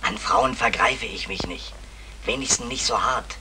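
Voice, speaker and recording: An actor playing a nervy, cheeky small-time burglar in a vintage German crime radio comedy. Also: male voice, on the low side, measured pace, natural voice. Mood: hushed, wry. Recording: noisy vintage radio drama, clicks.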